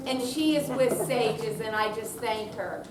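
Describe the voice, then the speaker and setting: A woman's voice: on the high side, measured pace, crowd noise. A woman giving an informal, chatty thank-you speech to an audience as a program organizer. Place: room at a senior center. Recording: roomy, distant mic, noisy.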